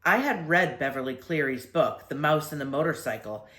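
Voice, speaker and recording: An older woman reading a children's story aloud expressively, with deliberate phrasing and drawn-out emphasis. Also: low voice, animated, fairly bright tone, reverberant.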